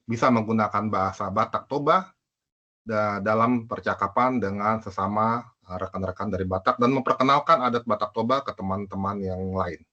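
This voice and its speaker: A man's voice: on the low side, normal speed, sharp tone, highs cut off. A man from Palembang giving a proud, formal self-introduction for a class presentation. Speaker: student presenter.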